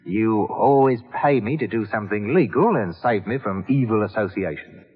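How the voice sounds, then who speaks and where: A charming, money-minded rogue of a professor in a 1940s radio drama. His low, husky voice swings theatrically through florid, mock-humble phrases. The old recording is tinny and swims in reverb. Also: animated, normal speed. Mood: sly, theatrical. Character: roguish, mercenary older professor, charming scheming informant.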